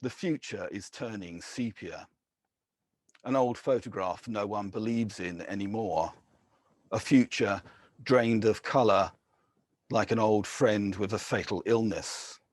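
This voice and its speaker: Male poet, lowish husky voice, deliberate poetry reading with offhand intros. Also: a little mellow, no room sound. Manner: measured, deliberate reading with brief casual asides.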